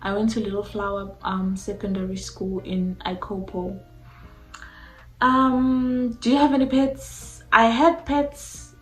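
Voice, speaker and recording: Slow-talking young South African woman, echoey room. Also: medium pitch, fairly even intonation, a bit bright. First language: a mixture of Xhosa and Zulu. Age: young adult. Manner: informal, uses "like" constantly. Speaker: YouTuber answering personal questions. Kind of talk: casual chatty question-and-answer vlog. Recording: echoey room with faint music.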